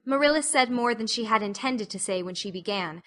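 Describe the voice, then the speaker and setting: A woman narrating a literary audiobook as an expressive storyteller. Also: a little high, brisk.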